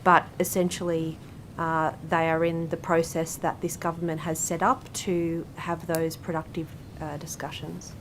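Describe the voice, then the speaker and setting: An Australian woman public servant giving formal answers at a parliamentary hearing in measured official phrasing. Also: sits low, little pitch movement, a little hiss or hum. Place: parliamentary committee room.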